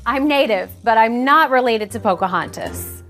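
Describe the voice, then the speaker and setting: A woman in a video clip, speaking short, crisp declarative lines. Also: on the high side, animated, normal speed. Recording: soft music behind.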